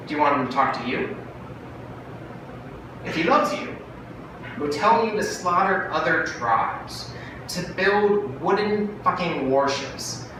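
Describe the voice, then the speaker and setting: A man voicing a fast-talking, wheedling brother pitching a bargain in a dramatic monologue from a short story. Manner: salesman-like, cajoling, animated. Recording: very echoey room, distant mic, noisy.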